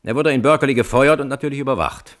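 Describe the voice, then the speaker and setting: An actor in a radio play voicing a hardline security colonel who testifies formally and precisely. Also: male voice, mid-range voice, fast, a bit bright, close to an everyday voice. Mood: stern. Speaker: witness at a hearing. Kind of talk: radio play courtroom acting.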